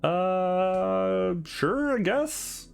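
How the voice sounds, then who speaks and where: A man's voice: high-pitched, lively intonation, extremely slow, gravelly, clear, resonant tone, pitched above the performer's own voice, exaggerated voice. A man voicing a shy, sweet young woman in a game, bashful and hesitant, with a lilting delivery. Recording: music behind the voice.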